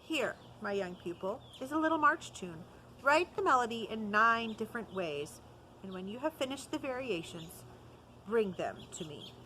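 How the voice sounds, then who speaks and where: A woman reading the part of a stern but kindly old male music master in a storybook, in a firm, measured way. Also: on the high side, lively intonation, sharp tone, slight background noise, low-quality recording.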